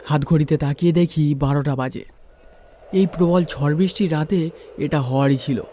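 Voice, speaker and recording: A man's voice: a little high, normal speed, mellow, slight background noise. A man giving a dramatised first-person narration of a ghost story.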